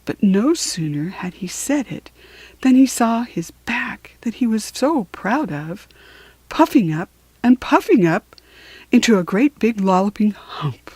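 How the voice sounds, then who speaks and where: A woman reading children's stories and verse aloud as a storyteller, in an expressive, deliberate manner. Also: medium pitch, husky, dry recording.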